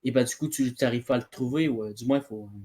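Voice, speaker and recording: A man taking part in a casual discussion, speaking haltingly and restarting his sentences. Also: mid-range voice, mellow. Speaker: debate participant.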